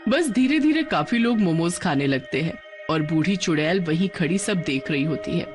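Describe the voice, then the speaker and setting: A woman's voice: fairly low pitch, expressive, normal speed. Woman narrating a story over music. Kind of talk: scripted children's story narration. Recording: music behind the voice.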